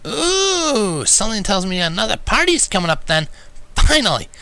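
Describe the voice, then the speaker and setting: A man's voice: high voice, big pitch swings, moderate pace, pushed and bright, clean tone, no room sound, pitched above the performer's own voice, full character voice. A man voicing a laid-back, brash female cartoon pony with a lazy, casual manner in a fan fiction reading. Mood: lazily excited.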